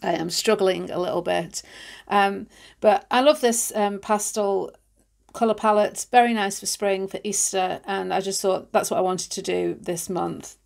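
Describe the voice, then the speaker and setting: A woman's voice: medium pitch, fairly even intonation, unhurried, vocal fry, darkish tone. A woman from the UK hosting a craft tutorial, chatting casually with frequent ums, sounding nasal and congested from hay fever. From United Kingdom. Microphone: overhead camera on hands, voice-over.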